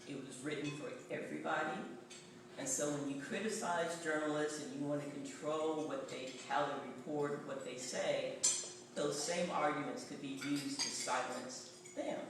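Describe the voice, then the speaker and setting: A man's voice: on the high side, normal speed. Wry Tennessee man lecturing in echoey hall. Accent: Tennessee Southern. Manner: anecdotal, wry, conversational. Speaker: journalism professor giving a public talk. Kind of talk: public lecture. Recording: echoey hall, voice far from mic, background noise.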